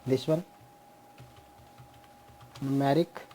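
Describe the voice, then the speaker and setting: A young man teaching a programming class on screen, slowly walking through code step by step and mixing Hindi with English. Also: medium pitch, steady tone, warm tone, a little hiss or hum. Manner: slow, explains step by step, mixes Hindi and English. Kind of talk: screen-share coding lesson.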